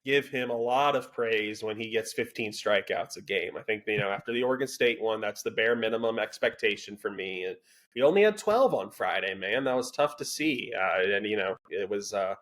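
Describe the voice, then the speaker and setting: A man co-hosting a casual college sports podcast, dry and sarcastic, with a self-described monotone delivery. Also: on the low side, moderate pace. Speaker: podcast co-host.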